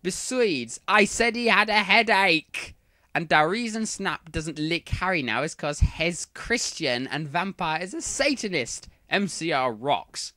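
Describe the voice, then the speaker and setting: A man's voice: high voice, very animated, pushed and bright, dry recording, studio quality, voice pushed up, exaggerated voice. A man doing a whiny defensive teen writer. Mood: petulant. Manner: whiny, petulant, drawn-out.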